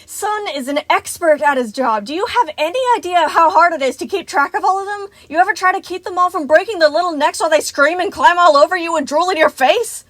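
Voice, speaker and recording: A woman's voice: fairly high pitch, moderate pace, few pauses, no echo, close to an everyday voice. A woman voicing a frantic, unhinged male animatronic babysitter, ranting in stammering bursts in an audiobook-style story. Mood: indignant, frantic.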